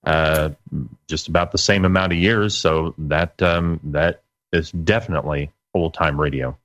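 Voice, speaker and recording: Deep-voiced man in his late forties. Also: fairly even intonation, a little slow, a bit bright, no room sound. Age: late 40s. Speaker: guest, internet radio broadcaster. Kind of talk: casual interview chat.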